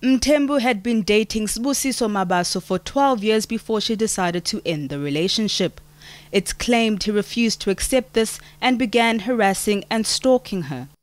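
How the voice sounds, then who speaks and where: A woman's voice: mid-range voice, normal speed, no room sound. Woman reading a news report. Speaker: field reporter. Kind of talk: scripted news voice-over.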